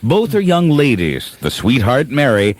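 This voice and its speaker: A man's voice: mid-range voice, huge pitch swings, unhurried. A man narrating a comedic radio play from a script.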